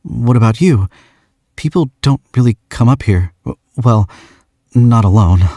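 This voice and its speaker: A man's voice: medium pitch, animated, unhurried, mellow, dry recording, clean studio sound, pitched above the performer's own voice. A man voicing a nervous, shy young man who stammers and restarts his sentences.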